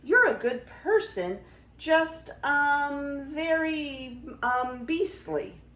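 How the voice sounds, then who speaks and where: An older woman voicing a kind, gentle, hesitant young fairy tale heroine. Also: lowish voice, wildly swooping pitch, slow, reverberant, exaggerated voice. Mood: awkward, reluctant.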